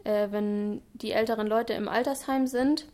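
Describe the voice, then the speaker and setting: A woman's voice: fairly high pitch, steady tone, normal speed, a little mellow. A woman of twenty-one, a trainee, answering interview questions earnestly and at length, with some fillers. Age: 20s. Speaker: trainee in public administration.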